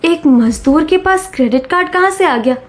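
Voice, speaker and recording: A woman's voice: sits high, expressive, normal speed, a little hiss or hum. A woman playing a devoted but suspicious young village wife in a cartoon, earnest and questioning.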